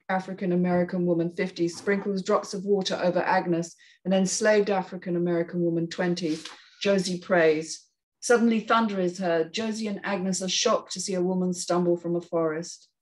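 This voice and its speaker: A woman's voice: lowish voice, rough recording. A woman narrating and hosting a script reading, reading out screenplay stage directions. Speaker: narrator and panel host.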